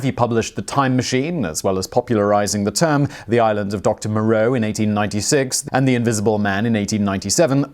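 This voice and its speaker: A man's voice: medium pitch, darkish tone. Man narrating a scripted history explainer.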